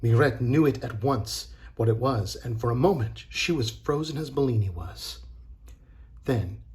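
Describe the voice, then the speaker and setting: A man reading a storybook aloud for children as a teacher, dramatic and deliberate. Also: mid-range voice, a little mellow.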